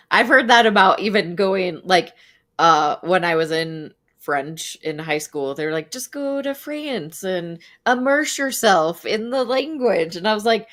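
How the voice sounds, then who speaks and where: A woman's voice: medium pitch, lively intonation, measured pace, a bit bright. Friendly woman podcast host. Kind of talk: casual interview chat.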